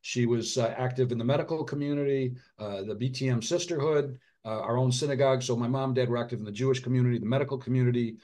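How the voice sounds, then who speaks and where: A middle-aged man talking in an interview with a mid-range voice. He speaks earnestly and haltingly, often restarting his sentences. At the heaviest points his voice drops low and quiet. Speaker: author and physician. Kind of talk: reflective interview conversation.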